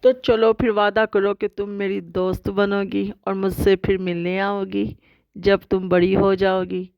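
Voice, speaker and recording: A woman's voice: medium pitch, flat delivery, measured pace, creaky, smooth, no room sound, lo-fi sound. A woman voicing a kindly, reassuring fairy in a cartoon fairy tale. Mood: promising, kind.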